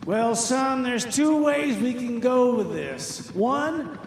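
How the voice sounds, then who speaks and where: A man playing a gruff, threatening military interrogator from the 1960s in a comedy radio play, with a stern, sneering manner. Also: extremely high pitch, animated, slow pace, echoey room, a little hiss or hum, full character voice, low-quality recording. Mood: stern, menacing. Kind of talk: comedy radio theater acting.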